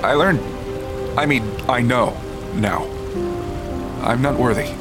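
A man's voice: mid-range voice, slow, over music, close to an everyday voice. An actor playing a wisecracking but earnest male treasure hunter in naturalistic audio drama banter, joking and a little hesitant.

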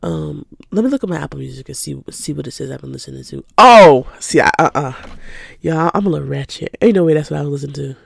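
A woman's voice: deep voice, lively intonation, fast, creaky, slightly rough, dry recording, studio quality. An eighteen-year-old woman from Atlanta hosting her own podcast in casual, rambling chat, sounding stuffy from a cold. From Atlanta, Georgia. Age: teens. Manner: chatty, rambling, laughs easily. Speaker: podcast host.

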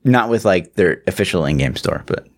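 A man's voice: deep voice, lively intonation, normal speed. A man co-hosting a podcast, explaining things in a rambling, slangy, casual way with some swearing. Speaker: podcast co-host. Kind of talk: casual gaming chat.

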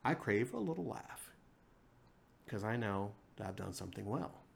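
A man hosting his own podcast, speaking to his listeners in a passionate solo monologue. He keeps repeating and stressing his phrases, with big swings in pitch and loudness, and he records on a single mic plugged into a laptop. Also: normal speed, creaky. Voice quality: self-described monotone voice. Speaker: podcast host.

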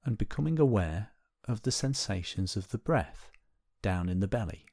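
A man with a lowish voice leads a guided meditation. He gives gentle, unhurried instructions and leaves space between phrases. The sound is very dry and close, with hardly any room around it. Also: lively intonation, vocal fry, dry recording. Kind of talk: guided body scan meditation.

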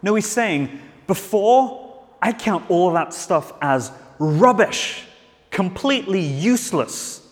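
A man's voice: high-pitched, lively intonation, slow, long reverb tail. A man preaching a sermon in a church, earnest, with frequent restarts and fillers.

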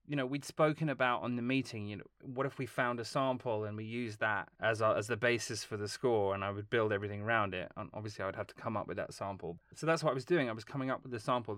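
A man talking as a film composer guest in a casual interview, telling long, lively stories and laughing along the way. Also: lowish voice, dry recording, clean studio sound. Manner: animated, rambling storytelling with laughs.

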